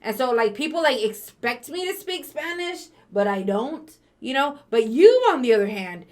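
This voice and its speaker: A woman's voice: a little high, expressive, moderate pace. A young woman hosting a casual, playful interview and teasing her guest. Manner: playful, teasing, laughs often. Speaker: host. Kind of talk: casual tipsy interview chat.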